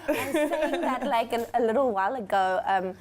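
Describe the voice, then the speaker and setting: A woman co-hosting an interview, chatty, with quick affirmations and giggles. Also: a little high, lively intonation, a little mellow, slight background noise. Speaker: co-host. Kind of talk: casual interview chat.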